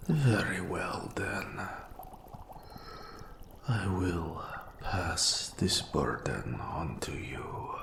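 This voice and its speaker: An ancient-sounding eldritch mentor of forbidden magic, speaks in a fantasy audio drama. The voice is abyssally low and hushed to a gravelly whisper, with a roomy echo around it. The delivery is grave, formal and ominous. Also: male voice, heavily acted. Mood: solemn. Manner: slow, ominous, archaic formal speech.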